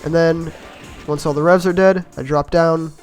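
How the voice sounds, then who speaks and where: Man, slightly raspy from illness, fairly high voice, casual game commentary, self-mocking, faint music behind. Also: steady tone, moderate pace. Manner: easygoing, rambling, self-mocking with chuckles. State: slightly raspy from being sick. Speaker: gameplay commentator.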